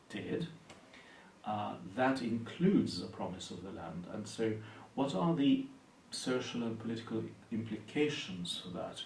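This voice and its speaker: A man from Palestine speaks in a low, gravelly voice, measured and thoughtful, often pausing on fillers. He is recorded in an echoey room from some distance, with faint hiss underneath. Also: a little mellow. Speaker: university lecturer and Anglican priest. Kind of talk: interview answers.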